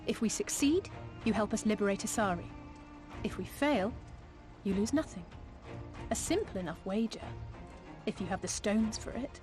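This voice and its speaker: An actress playing a shrewd, confident young negotiator in a video game, with formal, eloquent, old-fashioned phrasing. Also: female voice, medium pitch, lively intonation, normal speed, pauses often, music in the background, natural voice. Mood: confident, persuasive. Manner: formal, articulate, archaic phrasing.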